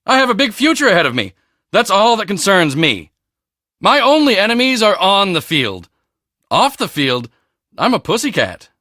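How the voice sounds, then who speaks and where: A man voicing a cocky, boastful teenage high school football star in a video game, with a swaggering, emphatic, self-important delivery. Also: sing-song, normal speed, bright, forceful tone, dry recording, clean studio sound, exaggerated voice. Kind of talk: video game character dialogue.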